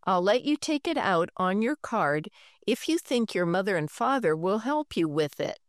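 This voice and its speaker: A woman's voice: medium pitch, very animated, moderate pace, no echo, studio quality, close to an everyday voice. A woman reading aloud and voicing a kindly, teasing librarian in a storybook.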